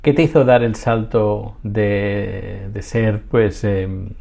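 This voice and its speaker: A man hosting and interviewing in a clear presenter manner. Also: fairly low pitch, steady tone, takes it slowly, mellow. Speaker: interviewer, host. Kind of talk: scripted intro, then interview questions. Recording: faint music behind.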